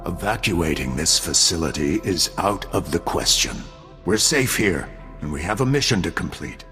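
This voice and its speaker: An actor playing a level-headed, weary intelligence bureau chief in a video game, measured, wry and decisive. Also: male voice, low-pitched, expressive, pushed and bright, faint music behind. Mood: firm.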